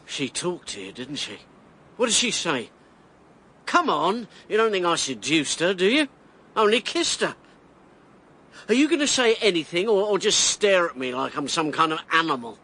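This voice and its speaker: An actor playing a brash, volatile election candidate and ex-army major in a radio drama, defiant and agitated. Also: male voice, high voice, very animated, moderate pace, sharp tone, breathy edge, exaggerated voice. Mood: defensive, needling.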